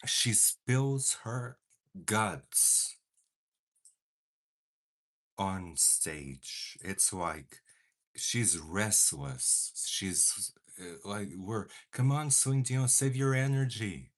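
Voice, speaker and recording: A young man whose first language is Portuguese talks slowly and casually as he does his makeup. His voice sits fairly low, and he slips into playful, theatrical outrage. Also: expressive. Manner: chatty and dramatic, mock outrage. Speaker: beauty vlogger. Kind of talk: casual chat while doing makeup.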